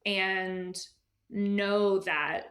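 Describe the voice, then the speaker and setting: A woman's voice: mid-range voice, fairly even intonation, very slow, fairly bright tone. A woman speaking as an editor and guest in a casual interview, informal, often dropping into asides and imagined quotes.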